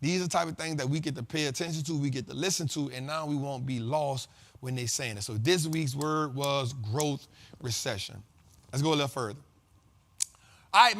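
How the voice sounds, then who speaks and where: A man hosts a money talk show in loose, slangy conversation. His voice sits a little higher than most men's. He stacks repeated lines the way a preacher does. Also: fairly bright tone. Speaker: host of a finance talk show. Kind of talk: casual motivational monologue.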